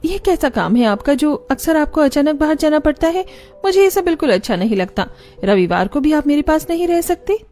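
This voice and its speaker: A woman plays a young cartoon wife with a high, pleading voice. She sounds childlike and whiny as she delivers scripted story dialogue. Soft music runs underneath. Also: expressive, fairly quick. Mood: worried, annoyed. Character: plaintive young overweight wife, childish and food-loving.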